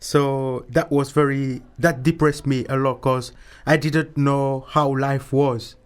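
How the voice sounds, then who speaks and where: Slow-talking man from Mauritius. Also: medium pitch, steady tone, smooth. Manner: informal, repeats words often. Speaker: interviewee. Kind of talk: casual interview.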